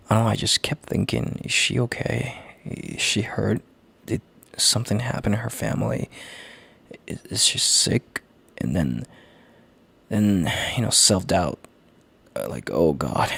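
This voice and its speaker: A man playing a gentle, worried boyfriend in an intimate audio roleplay, speaking in a halting, tender, hushed way with a gravelly, husky, breathy voice. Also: fairly low pitch, dry recording, full character voice. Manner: halting, tender, hushed, sighing between phrases. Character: gentle worried boyfriend, relieved and heartfelt, on a call.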